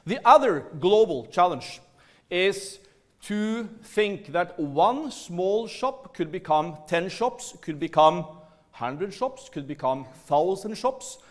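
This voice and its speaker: A Norwegian man with a Norwegian accent giving a lively, playful business talk to a seminar audience in a large hall. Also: high voice, takes it slowly. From Norway. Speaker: seminar speaker.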